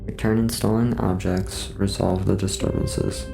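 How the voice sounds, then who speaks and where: A man reading scripted horror case files as a narrator, in a measured, formal way. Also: sits low, little pitch movement, creaky. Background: music.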